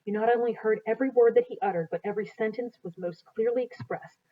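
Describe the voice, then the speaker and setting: A woman's voice: a little high, fast. An American woman reads a history book aloud as a teacher, in an explanatory, teacherly way. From United States. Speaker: teacher reading aloud to students. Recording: dull, lo-fi sound.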